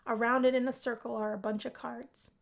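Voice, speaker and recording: High-voiced woman reading a story aloud. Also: brisk, creaky. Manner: expressive story reading with acted character voices. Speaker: video game streamer. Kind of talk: reading a visual novel aloud.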